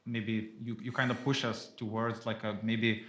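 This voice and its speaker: Man, engineer on a panel, relaxed, filler-heavy answers. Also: on the low side, normal speed. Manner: hedging, loose, many fillers. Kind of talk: live Q&A panel. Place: office event space.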